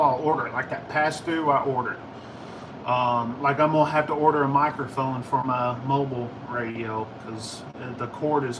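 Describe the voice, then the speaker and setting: An American man chatting casually to livestream viewers in a rambling, halting, informal way. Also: mid-range voice, recorded from a distance, background noise. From United States. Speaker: livestream host, ham and CB radio hobbyist. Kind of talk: casual livestream chat answering viewer questions.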